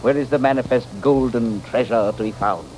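An actor playing a pompous little financier with florid, oratorical speech, in an old-time radio western. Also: male voice, medium pitch, normal speed, a little mellow, close to an everyday voice. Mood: grandiose. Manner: florid, oratorical, name-dropping. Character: pompous, grandiose little financier and smooth-talking swindler. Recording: noisy vintage radio recording.

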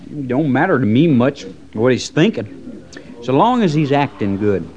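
Actor as a lively young frontier trooper, muffled recording. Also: male voice, mid-range voice, moderate pace, natural voice. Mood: admiring, thoughtful. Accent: American. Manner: folksy rural frontier speech. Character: loyal plain-spoken young cavalry trooper, trusting and concerned. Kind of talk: old-time radio western acting. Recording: dull old radio recording.

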